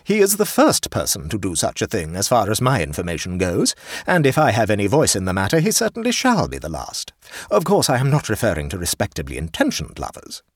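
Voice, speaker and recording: A man's voice: on the high side, huge pitch swings, normal speed, dry recording, clean studio sound, pitched above the performer's own voice. A man reading an audiobook, voicing a stern, imperious older aunt who speaks coldly and firmly. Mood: indignant. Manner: cold, firm, magisterial. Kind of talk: audiobook character voice.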